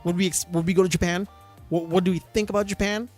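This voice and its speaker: A man with a notably high voice tells an animated story on a podcast. His talk is loose and casual, full of fillers and restarts. Faint music sits under the voice. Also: fast. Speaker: podcast co-host.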